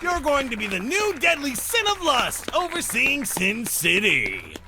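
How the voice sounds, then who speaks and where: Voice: high-pitched, huge pitch swings, a little slow, a loud crowd, heavy background noise, exaggerated voice. An actor playing a flamboyant, teasing demon master of ceremonies in a visual novel, announcing with theatrical relish. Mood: sly briefing. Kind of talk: character acting in a visual novel.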